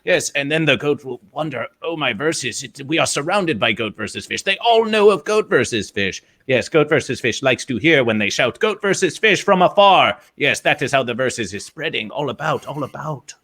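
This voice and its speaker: A man's voice: mid-range voice, lively intonation, moderate pace, hardly any pauses, fairly bright tone. A man voicing a quirky male mascot character who talks about himself in the third person, in stilted grammar with a constant "yes". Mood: storytelling. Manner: theatrical, swooping storytelling delivery. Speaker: guest. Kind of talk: in-character livestream chat.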